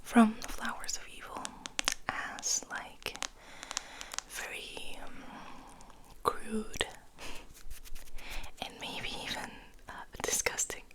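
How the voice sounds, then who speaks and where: A woman speaking in a breathy whisper in ASMR style, halting gently between short phrases. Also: a little high, completely flat delivery, rough recording. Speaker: host of an ASMR channel. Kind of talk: ASMR, soft whispered rambling.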